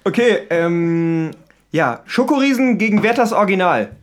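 A man's voice: high voice, normal speed. A man casually and playfully running a this-or-that game among friends as podcast host, with jokey teasing. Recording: lively group chatter in the room.

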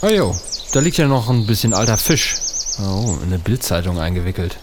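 A man's voice: fairly low pitch, big pitch swings, a little fast, noisy background. Man playing muttering, bewildered podcaster hero. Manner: muttering to himself, colloquial, exasperated. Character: bewildered young male podcaster, grumbling, dazed adventure hero. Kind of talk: comedic audio drama acting.

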